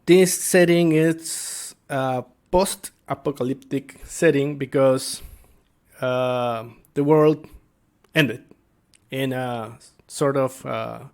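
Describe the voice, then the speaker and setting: A man talks informally to viewers as a game master explaining his game. His delivery is slow and hesitant, often searching for the next word. The voice sits at a middling pitch with a noticeable creak. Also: darkish tone. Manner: halting, hesitant, searching for words. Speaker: tabletop game master and video creator.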